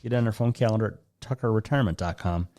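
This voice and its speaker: A man's voice: on the low side, quick pace, dark tone. A man with an American Midwestern accent hosting a casual podcast, rambling through listener questions with jokey asides and interrupting himself. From Lansing, Michigan. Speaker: podcast host.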